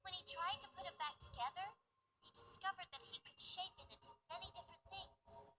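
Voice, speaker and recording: A woman voicing a bright, patient fourteen-year-old little sister in a visual novel, explaining things in a gently philosophical way. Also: very high voice, brisk, low-quality recording. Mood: patient, thoughtful. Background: game music.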